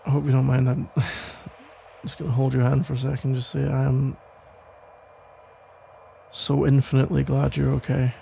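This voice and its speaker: Man playing a gravelly, tender protective police officer. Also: medium pitch, monotone, slight background noise. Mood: tender, relieved. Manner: hesitant, halting, rambling with sighs and soft chuckles. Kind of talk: ASMR roleplay, intimate one-sided conversation.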